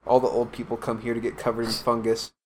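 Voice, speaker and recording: A young man in casual group chatter, loose and profane, stringing thoughts together with endless "like"s. His pitch sits mid-range, with a faint bit of hiss underneath. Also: monotone, fast talker. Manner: rambling, profane, lots of "like". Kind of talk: casual podcast banter.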